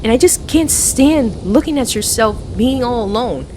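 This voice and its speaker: A woman's voice: on the high side, lively intonation, normal speed, a little mellow, slight background noise, close to an everyday voice, poor audio. A woman playing a playful, affectionate young best friend with a hesitant, earnest way of talking, in an ASMR roleplay audio. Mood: earnest confession.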